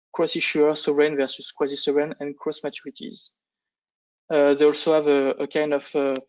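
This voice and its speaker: A man with a slightly high voice and very flat intonation presents like a fund analyst on a conference call. He is a non-native English speaker, and his delivery is halting, often restarting phrases. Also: poor audio. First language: not English. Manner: halting, hesitant delivery with repeated false starts. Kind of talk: semi-scripted business presentation on a conference call.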